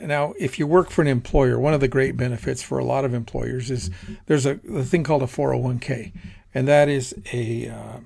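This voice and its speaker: A man's voice: mid-range voice, gravelly. A man giving an informal lecture on personal finance, talking in a rambling, conversational way and correcting himself as he goes. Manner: conversational, rambling, self-correcting, acts out quoted asides. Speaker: teacher.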